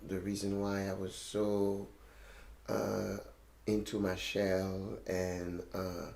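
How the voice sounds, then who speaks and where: A French-born man, an interview guest, talking casually and a bit haltingly about his life. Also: deep voice, monotone, gravelly, a little mellow. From France. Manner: hesitant, playful, mimics voices for effect. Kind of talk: casual conversation. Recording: echoey room.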